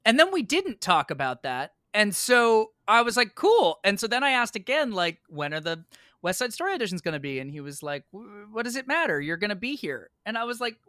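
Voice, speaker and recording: A man with a bright, forward voice tells a casual story as a podcast guest. His pitch moves around a lot as he plays out each side of an exchange. The sound is dry, with hardly any room on it. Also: a little fast, no echo. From United States, New York. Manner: animated, acts out both sides of remembered exchanges. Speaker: podcast guest, stage actor.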